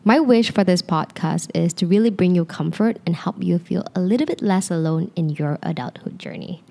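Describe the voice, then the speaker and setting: A young woman with a Malaysian English accent that leans a little North American, speaking in a slightly low, relaxed voice. She talks openly and confidingly, and she rambles a little at times. She is recorded close on a handheld microphone while sitting on a sofa at home. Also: normal speed, gravelly. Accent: Malaysian English with North American influence. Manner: open, confiding, sometimes rambling. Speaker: podcast host. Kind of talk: casual solo podcast monologue. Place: living room, seated on a sofa.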